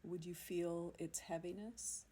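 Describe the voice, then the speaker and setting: A woman leading a guided yoga nidra meditation in a soothing, unhurried manner, leaving long pauses between phrases. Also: lowish voice, fairly even intonation, mellow, slightly rough. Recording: amateur recording with faint background noise.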